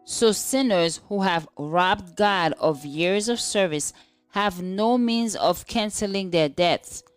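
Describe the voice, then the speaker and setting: A woman's voice: medium pitch, takes it slowly, clear, resonant tone, soft background music. A woman reading a scripted religious devotional aloud as narration. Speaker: devotional reader.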